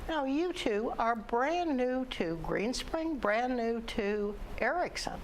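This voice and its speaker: A woman's voice: a little high, animated, slow, slight background noise. A woman hosting a local community TV interview show, chatty, often saying "all right". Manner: chatty, warm asides, frequent "all right". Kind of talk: casual interview.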